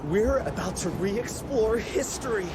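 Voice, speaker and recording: An actor playing an excitable, nerdy young inventor in a video game, eager, wordy and easily flustered. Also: male voice, sits high, a little slow, very noisy. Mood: awed, excited. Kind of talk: video game cutscene acting.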